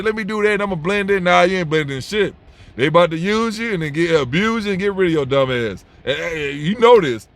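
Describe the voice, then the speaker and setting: A man's voice: high voice, fairly bright tone. A young man, talking to camera in a blunt, repetitive, slangy way and saying "bro" a lot. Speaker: vlogger giving advice. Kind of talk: blunt unscripted monologue to camera.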